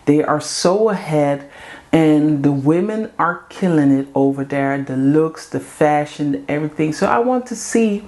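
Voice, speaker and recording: A woman's voice: low-pitched, expressive, unhurried, darkish tone. A woman, hosting her own vlog and talking straight to her viewers in an earnest, heartfelt, chatty way with the odd "um". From Suriname-Creole family in the Netherlands. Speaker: vlog host. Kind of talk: casual direct-to-camera talk.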